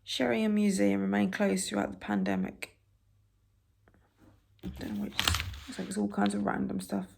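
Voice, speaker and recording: A British woman with a raspy voice, softly reading newspaper stories for ASMR and adding wry, halting asides. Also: mid-range voice, little pitch movement, noisy background. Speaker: ASMR creator.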